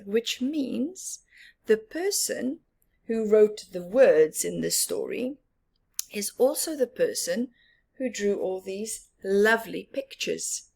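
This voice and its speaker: A woman reading a picture book aloud to children with expressive, drawn-out emphasis and asides about the story. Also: a little high, creaky, husky. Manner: animated, drawn-out emphasis with whispered words.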